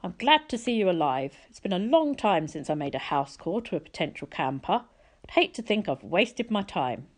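A woman voicing a kindly older male teacher in a storybook, courteous and gently wry. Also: lowish voice, very animated, normal speed.

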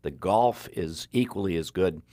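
Older guy hosting radio, really deep voice, dry headset mic, steady announcer pace. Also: no echo. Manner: warm, measured announcer delivery with slow-read phone numbers. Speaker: radio show host. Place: small broadcast studio.

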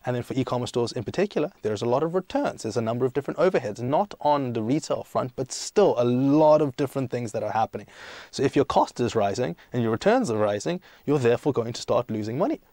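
A man's voice: medium pitch, animated, quick pace, dark tone, no echo. A young man from South Africa and Greece who gives conversational interview answers as a startup boss, explaining emphatically and posing questions that he then answers himself. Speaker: startup CEO, podcast guest.

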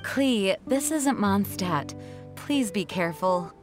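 A woman's voice: mid-range voice, animated, slow pace. A woman voicing a dutiful, protective young knight commander in an anime-style game, speaking in a composed, formal, authoritative way. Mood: cautious. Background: background music.

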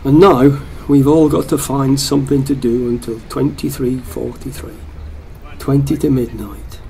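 A man's voice: medium pitch, steady tone, measured pace, creaky, warm tone, slight background noise. A man playing a wry, melancholy football fan narrating a radio play.

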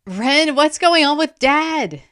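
Woman as an anxious young boy, pitched up. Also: lively intonation, takes it slowly, a bit bright, full character voice. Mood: alarmed. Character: earnest, anxious boy, young student of magic.

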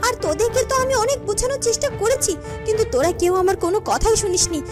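A woman's voice: very high-pitched, animated, very fast, music in the background, pitched below the performer's own voice. A woman voicing a righteous shapeshifting snake woman in a fairy-tale cartoon, dramatic and emphatic. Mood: stern, vengeful.

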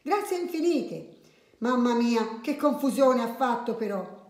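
A woman's voice: on the high side, expressive, a little slow, a little mellow, echoey room, pitched above the performer's own voice. A woman voicing a flustered, polite neighbour lady in a children's story, effusive and exclamatory. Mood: agitated, grateful.

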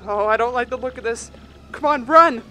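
A man's voice: very high voice, moderate pace, sharp tone, faint music behind. A man voicing a stammering, jittery young turtle. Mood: scared. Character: timid young male turtle companion, nervous. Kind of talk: fan dub of a game character.

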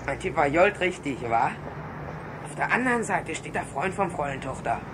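A man's voice: on the high side, lively intonation, normal speed, noisy background, lightly acted. An actor playing a cheeky, sly teenage boy blackmailer, smug and insinuating, in a 1960s German radio play.

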